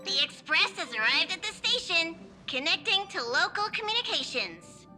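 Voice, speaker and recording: Tiny, very high-pitched squeaky conductor creature. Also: female voice, big pitch swings, moderate pace. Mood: earnest. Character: small cute excitable train conductor creature. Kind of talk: anime game voice acting. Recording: loud music behind the voice.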